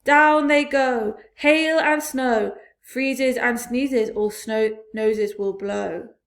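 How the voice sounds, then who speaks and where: A woman voicing gleeful male cloud creatures who chant slowly and loudly in a storybook reading. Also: on the high side. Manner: slow, loud, sing-song chanting.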